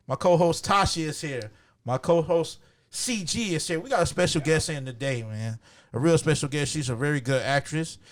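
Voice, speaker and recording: A man hosting a casual podcast interview, talking informally and talking up his guest. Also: fairly high pitch. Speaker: podcast host.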